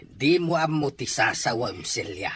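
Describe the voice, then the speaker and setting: A man's voice: mid-range voice, lively intonation, measured pace, fairly bright tone, slightly rough, heavily acted. A man with a Filipino accent playing an earnest, middle-aged widowed father in an Ilocano radio-style drama. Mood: stern. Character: worried widowed father, stern and plain-spoken. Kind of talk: Ilocano audio drama acting.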